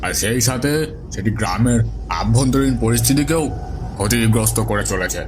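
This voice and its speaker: A man playing a desperate, injured villager who pleads haltingly for rescue in a horror audio story. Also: mid-range voice, background noise, natural voice. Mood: desperate pleading.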